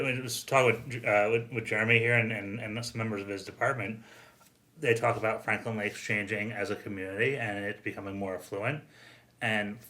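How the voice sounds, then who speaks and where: Male American co-host, casual and halting, slightly low pitch, bright forceful tone. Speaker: interviewer, co-host. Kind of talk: casual interview conversation.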